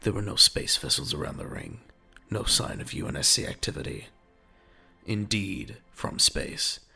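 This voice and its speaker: A man narrating a scripted audio drama in a measured, dramatic, gravelly voice. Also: a bass voice, sharp tone.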